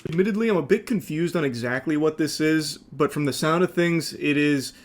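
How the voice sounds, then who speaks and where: A man with a voice set above the usual male range, giving scripted commentary. He explains things in a measured, tentative manner. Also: fairly high pitch. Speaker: video commentator.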